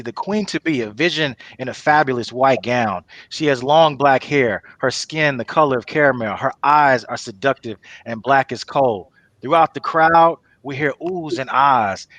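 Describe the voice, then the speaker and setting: A man's voice: mid-range voice, a bit bright, no echo. Casual male host, dry close sound. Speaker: host and narrator. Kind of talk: casual hosting and script reading.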